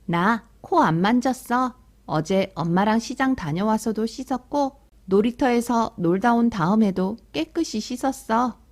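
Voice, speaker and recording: A woman's voice: on the high side, animated, unhurried, a little mellow. A woman voicing an earnest, defensive talking hand in a children's picture book.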